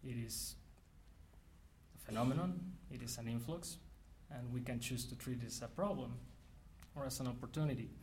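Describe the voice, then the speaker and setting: A man from Mexico, giving an academic lecture in an earnest, measured way with frequent restarts. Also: medium pitch, a little mellow, slight background noise.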